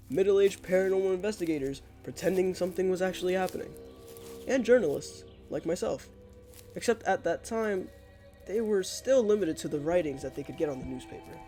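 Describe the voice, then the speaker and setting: A man's voice: high-pitched, dark tone. A man playing an investigative journalist who records a musing, wry field log for an audio drama. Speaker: journalist narrator of a mystery series.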